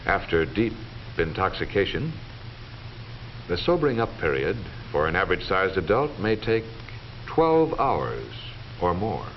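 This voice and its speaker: A middle-aged American man giving formal, measured, authoritative scripted narration in the manner of a 1940s educational film. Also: low voice, very animated. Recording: dull vintage 1940s film soundtrack, faint noise.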